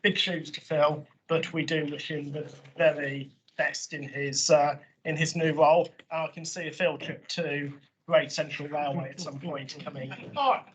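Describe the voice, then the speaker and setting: A man with a croaky voice, a councillor presenting a report at a committee meeting in a chatty manner with informal asides. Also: on the high side, level tone, moderate pace, few pauses, slight background noise. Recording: muffled lo-fi meeting audio.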